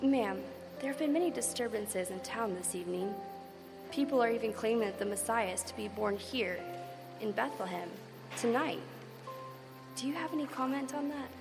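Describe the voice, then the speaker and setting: An eager on-the-scene radio reporter. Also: female voice, a little high, expressive, moderate pace, gravelly. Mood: earnest. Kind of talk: live radio drama acting. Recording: live stage with piano music.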